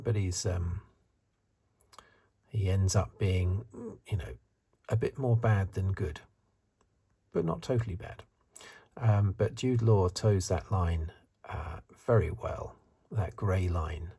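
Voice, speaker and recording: A man giving soft-spoken, partly whispered ASMR review commentary in a breathy, hesitant, musing way. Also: deep voice, slow pace. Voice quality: breathy, hushed. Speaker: host of a film review video.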